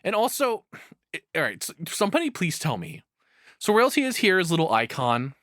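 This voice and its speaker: Expressive man with a bright, close-up voice. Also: high voice, moderate pace, no room sound. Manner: rambling, swearing freely. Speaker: streamer reacting to a video. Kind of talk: casual reaction commentary.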